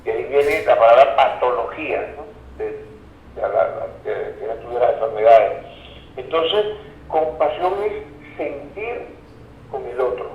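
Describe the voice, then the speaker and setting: A man's voice: mid-range voice, animated, measured pace, pauses often. A Venezuelan man speaking as an expert guest in an interview, explaining ideas reflectively and didactically with word origins and everyday examples. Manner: didactic, reflective, checks in with the listener. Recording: roomy echo, dull lo-fi sound, faint noise.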